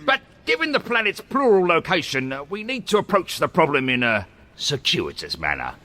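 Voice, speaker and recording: Pompous alien judge, wildly swooping. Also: male voice, a little high, wildly swooping pitch, bright, forceful tone, heavily acted. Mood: bureaucratic. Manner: officious, theatrical, with drawn-out deliberate phrasing. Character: pompous male Vogon alien judge, bureaucratic and cruel. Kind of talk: radio comedy drama.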